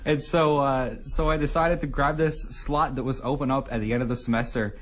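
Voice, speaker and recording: A man's voice: medium pitch, steady tone, fairly quick, a bit bright. A young man, a radio show co-host, chatting casually in an interview in a studio, rambling with lots of fillers. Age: young adult.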